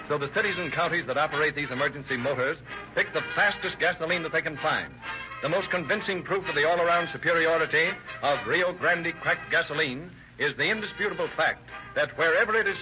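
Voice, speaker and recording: A man announcing and narrating a 1930s radio show in a formal, declamatory, scripted style. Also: on the high side. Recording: muffled old radio broadcast.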